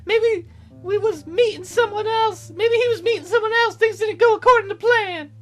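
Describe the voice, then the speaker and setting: Man putting on a high falsetto grieving wife. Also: a bit bright, soft background music. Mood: tearful, anxious. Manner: falsetto, halting, plaintive. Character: grieving, tearful wife answering a detective.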